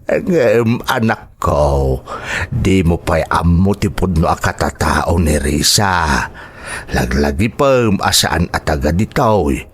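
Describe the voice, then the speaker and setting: A man with an Ilocano accent playing a cautious, questioning older father with a husky voice in a radio drama. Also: sits low, lively intonation, slow, full character voice. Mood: concerned, doubtful.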